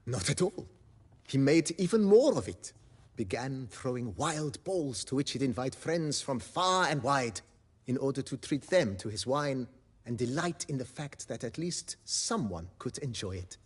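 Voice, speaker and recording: A refined estate majordomo for a fantasy game. His voice is very husky and breathy, swinging expressively in pitch as he speaks formally and deferentially, relishing each tale. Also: sing-song, unhurried. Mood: storytelling relish. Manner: formal, deferential, storytelling with flourish. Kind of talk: video game character acting.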